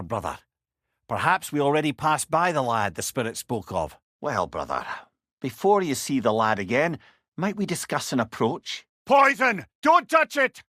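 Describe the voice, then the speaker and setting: A man's voice: medium pitch, fairly bright tone, no room sound, studio quality. An older man with a Scottish accent playing a wry, eloquent old companion in a video game. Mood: urgent shouting. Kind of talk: video game character dialogue.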